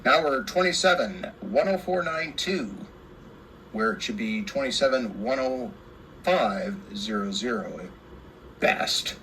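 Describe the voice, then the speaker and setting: A man's voice: medium pitch, expressive, a little slow, sharp tone, low-quality recording. A man presenting a casual hands-on radio repair, rambling and repeating himself, enthusiastic about the equipment. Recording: clear background noise.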